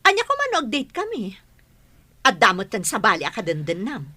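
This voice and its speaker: A sociable, motherly aunt, acted by a woman in a radio drama. Her voice is bright and very animated, with an expressive, coaxing delivery. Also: medium pitch, brisk, no echo, heavily acted. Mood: irritated. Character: kindly, sociable working mother, an aunt figure. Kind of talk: Ilocano radio drama acting.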